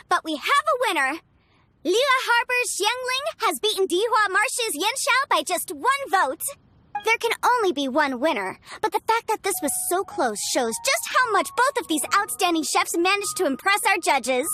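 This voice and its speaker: A woman's voice: very high-pitched, pushed and bright, a little hiss or hum, full character voice. A woman voicing a composed contest officiator in a game, announcing in a formal, ceremonial way.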